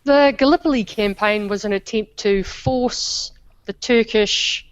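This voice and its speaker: A woman with a New Zealand accent, an academic guest giving measured, explanatory interview answers. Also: medium pitch, smooth, no echo. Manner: measured, explanatory, with small restarts.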